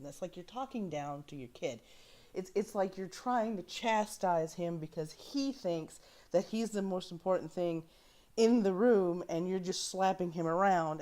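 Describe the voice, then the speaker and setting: Low-pitched woman giving emphatic film commentary. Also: dark tone. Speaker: host of a film reaction show. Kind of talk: casual opinionated commentary on a film clip.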